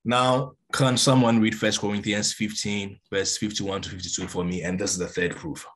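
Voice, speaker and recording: A man's voice: on the low side, level tone, normal speed, fairly bright tone. A man in his forties giving emphatic Bible teaching over a video call from a room at home. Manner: emphatic, gestures while teaching. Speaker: preacher. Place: room with a window, indoors. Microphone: laptop webcam on a video call.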